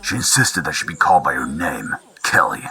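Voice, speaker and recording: Man as squad captain, rock-bottom pitch, very sharp tone, composed, terse, authoritative, audio drama. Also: very animated, fairly quick, vocal fry, breathy edge, exaggerated voice. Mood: calm. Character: calm, authoritative male special-forces captain.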